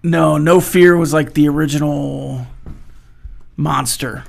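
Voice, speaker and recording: A man co-hosting a casual sports talk show, rattling off schedules with animated banter. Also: on the high side, slow, gravelly.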